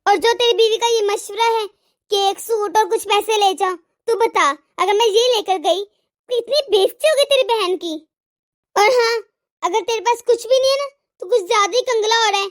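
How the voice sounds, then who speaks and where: A woman voicing a scolding, sarcastic, demanding mother-in-law in an animated family drama. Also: very high voice. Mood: insistent, then indignant. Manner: nagging, sarcastic. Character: scolding, demanding mother-in-law, fiercely partial to her daughter.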